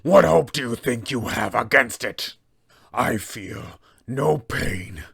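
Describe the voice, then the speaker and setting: A man voicing a vengeful undead male knight, speaking slowly and menacingly with a gravelly, husky voice. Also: mid-range voice, animated, fairly bright tone, exaggerated voice. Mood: wrathful. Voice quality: gravelly, slow menacing delivery.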